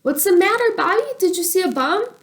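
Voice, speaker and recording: Woman voicing a high, excitable little girl. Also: fairly quick, background noise. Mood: excited, shrill. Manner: excitable, makes up babyish nonsense words. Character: eager little girl, playful and silly.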